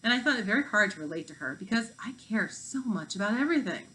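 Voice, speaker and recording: Woman playing a prim, high-achieving schoolgirl. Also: lively intonation, fast talker, a bit bright, close to an everyday voice, rough recording. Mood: disapproving. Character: earnest, prim, judgmental high-achieving fifth-grade girl.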